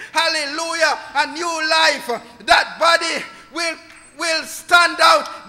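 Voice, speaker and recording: High, bright-voiced fervent male preacher. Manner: impassioned, often shouting. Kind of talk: fervent sermon. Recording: long hall reverb.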